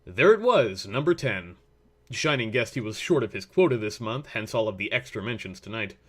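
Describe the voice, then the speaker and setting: A man's voice: fairly low pitch, very animated, normal speed, fairly bright tone. A man narrating a scripted story as its reader.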